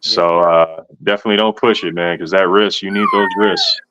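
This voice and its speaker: Very deep dude in his 30s hosting, chill and slangy, muffled call audio. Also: wildly swooping pitch, normal speed, background noise. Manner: laid-back, slangy, lots of "man" and "bro". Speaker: host. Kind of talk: casual interview chat.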